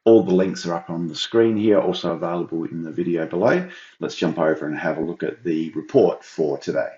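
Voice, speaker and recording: A middle-aged man with a croaky voice, walking viewers through a flood data report on his screen with a thinking-aloud, explanatory manner. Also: sits low, fairly quick, warm tone, roomy sound, lo-fi sound. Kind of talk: screen-share walkthrough.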